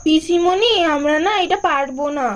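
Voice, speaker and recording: A woman puts on a schoolchild doll in a puppet show. The voice is high and childlike, with an anxious, fretting manner. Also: moderate pace, lightly acted. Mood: worried. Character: worried schoolchild doll, quiz teammate. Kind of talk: puppet doll story voice acting.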